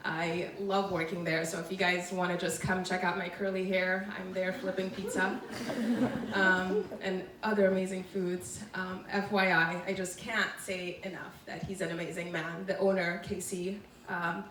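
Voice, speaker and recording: A young woman giving a casual, earnest talk, chatty and quick to laugh. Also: fairly low pitch, flat delivery, noisy background. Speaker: food truck owner speaker. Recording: roomy, distant from the mic, faint background ambience.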